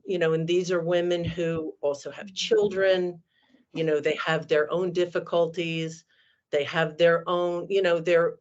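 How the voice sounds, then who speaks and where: A woman who owns a cleaning company, talking in a rambling way at a roundtable, full of "you know". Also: lowish voice. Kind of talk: roundtable remarks. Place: on a video call. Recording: muffled video call audio.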